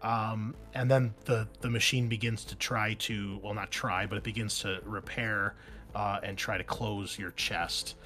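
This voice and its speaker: A man's voice: on the low side, steady tone, measured pace, pushed and bright. Casual male game master over faint music. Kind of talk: casual tabletop game narration.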